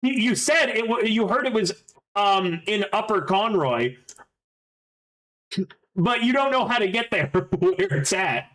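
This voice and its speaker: A man narrating as game master in a casual, halting way with many fillers. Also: high-pitched, expressive, fairly bright tone, low-quality recording.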